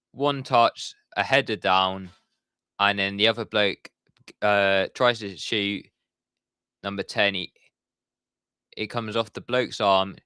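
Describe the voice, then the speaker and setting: A man's voice: lowish voice, sharp tone, clear, resonant tone, dry recording. A young man giving live football commentary on his stream, chatty and talking to his viewers in the chat. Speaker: watchalong streamer.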